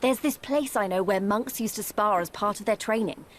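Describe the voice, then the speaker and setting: A woman's voice: mid-range voice, moderate pace, a little hiss or hum, close to an everyday voice. A woman voicing an earnest, spirited young resistance fighter in a video game cutscene. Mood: sheepish, friendly.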